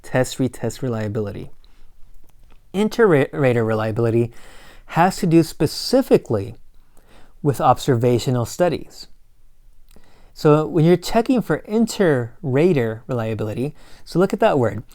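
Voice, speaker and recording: Male lecturer, slightly hoarse, mellow and smooth, casual with corny asides, dry close mic. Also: mid-range voice, sing-song, normal speed, no room sound. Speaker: college instructor. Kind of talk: recorded lecture.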